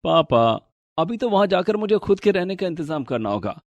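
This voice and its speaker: An actor playing a young married office worker, casual and brisk, in an animated moral story. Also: male voice, high voice, no echo, lo-fi sound. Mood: explaining.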